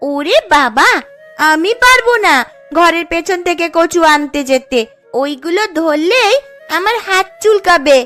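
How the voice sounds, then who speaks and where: A whiny little village girl from a cartoon, acted by a woman, pleading in a very high, childish voice. She sounds hungry and needy, with clear music playing behind her. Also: expressive, unhurried, sharp tone, full character voice. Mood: reluctant whining. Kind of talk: Bengali cartoon story acting. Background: background music.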